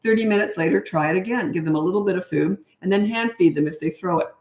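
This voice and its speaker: A middle-aged woman coaching parents on a casual livestream, giving blunt, practical advice with chatty asides while her voice grows slightly hoarse. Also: on the low side, quick pace, a little mellow, echoey room.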